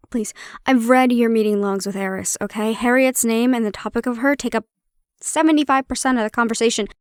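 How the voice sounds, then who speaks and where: A woman playing a prickly but caring handler in an intimate audio roleplay, speaking in a measured, probing way. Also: a little high, no room sound, clean studio sound, natural voice. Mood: sardonic, pointed. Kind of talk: intimate audio roleplay acting, one-sided conversation.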